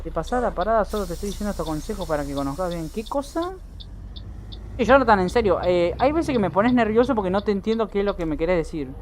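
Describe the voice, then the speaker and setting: A man's voice: sits high, animated, fast, a little hiss or hum. An Argentine man with an Argentine accent giving casual live commentary while streaming a driving game, chatting with viewers and swearing now and then.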